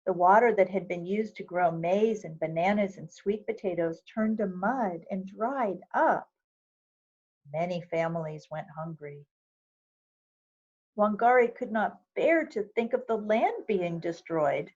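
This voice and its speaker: Slow-paced woman reading a children's story. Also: mid-range voice, expressive, a little mellow, dull audio. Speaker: volunteer reader of a children's book. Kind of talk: read-aloud storytelling of a picture book.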